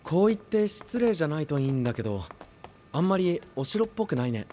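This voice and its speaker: A man playing a sociable, tactful young straight man in an audio drama, with light casual speech and quick retorts. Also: on the high side, sing-song, mellow, a little hiss or hum. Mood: tactful, probing. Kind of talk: anime-style audio drama acting.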